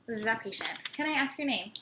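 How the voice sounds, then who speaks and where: A young woman interviewing a patient, asking steady questions with some fillers. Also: fairly high pitch, quick pace, background noise. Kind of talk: clinical interview.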